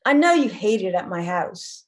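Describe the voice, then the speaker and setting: Woman playing sardonic, lively bar-owner mother. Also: medium pitch, moderate pace, lightly acted. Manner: blunt, irreverent. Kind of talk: acting in a table read.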